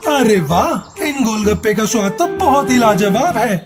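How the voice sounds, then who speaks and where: A bossy male ghost crow from a children's cartoon, played by a woman. The voice is on the high side, with gruff, menacing demands for more. Music plays clearly underneath. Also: expressive, a little slow. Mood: demanding, brusque. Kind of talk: children's cartoon story dialogue.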